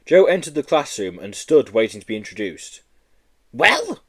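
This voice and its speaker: A man reading a children's story aloud as an expressive audiobook narrator. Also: on the low side, a little fast. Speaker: audiobook reader.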